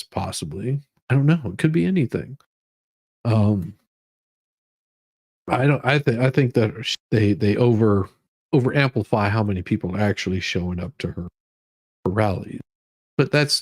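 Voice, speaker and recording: A man hosting a casual livestream, chatting to viewers in a rambling, halting way that often repeats words. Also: medium pitch, darkish tone, no echo, clean studio sound. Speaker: livestream host. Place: room at home.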